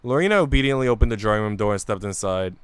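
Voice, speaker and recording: A man's voice: fairly low pitch, lively intonation, racing, clean tone. A man streaming a visual novel, switching between casual, slangy chat and reading the story aloud. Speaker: game streamer.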